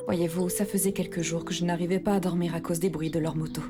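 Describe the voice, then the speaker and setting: A woman voicing a gentle, worried middle-aged mother in a French anime fan dub, polite and soft-spoken. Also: on the low side, fairly even intonation, fast, music in the background, natural voice. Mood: apologetic.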